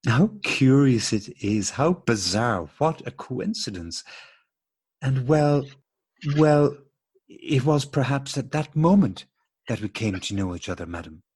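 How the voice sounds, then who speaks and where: A man's voice: medium pitch, animated, a little slow, darkish tone, breathy edge. A man playing a courteous, bemused married man in an absurdist radio play, speaking with formal, repetitive politeness. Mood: polite puzzlement. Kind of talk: absurdist stage dialogue played as radio drama.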